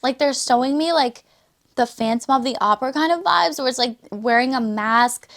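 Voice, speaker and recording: A woman's voice: sits high, moderate pace, fairly bright tone. A woman giving a casual, chatty tarot reading, losing her voice a little, with frequent fillers and laughs. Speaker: tarot reader.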